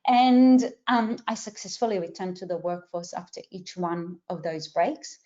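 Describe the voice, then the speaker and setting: A middle-aged woman with a mixed Israeli, Australian and American accent, hosting a webinar and giving clear step-by-step instructions. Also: on the low side, fairly even intonation, mellow, dull audio. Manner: clear and instructive, with some filler pauses. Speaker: webinar host and career coach. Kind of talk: webinar introduction.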